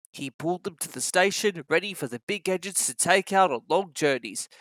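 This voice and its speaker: A narrator reading a children's story aloud in an expressive, scripted style. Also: mid-range voice, no echo, poor audio.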